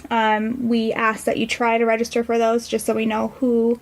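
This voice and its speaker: A woman's voice: on the high side, monotone, normal speed. An American woman explaining things in a matter-of-fact, informative way as a podcast guest. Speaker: podcast guest, nonprofit director. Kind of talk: explanatory interview answers.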